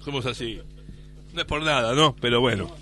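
A man's voice: mid-range voice, a little fast, over music. An Argentine man in his fifties hosting with casual, jokey, slangy banter and repeated catchphrases. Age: 50s. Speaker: radio host.

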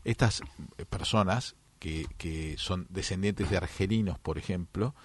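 A man's voice: low voice, unhurried, slightly rough. A man with an Argentine accent in a conversational discussion, explaining and arguing his points analytically. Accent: Argentine Spanish. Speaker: panelist.

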